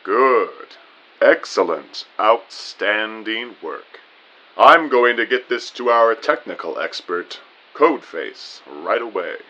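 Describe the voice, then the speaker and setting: Male actor as genial, pompous chief in radio play, booming and bright, unhurried, lively intonation, slightly lo-fi. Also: exaggerated voice. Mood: jovial, hearty. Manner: pompous, genteel, formal phrasing. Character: booming, jovial, courteous male agency boss. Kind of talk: audio drama dialogue.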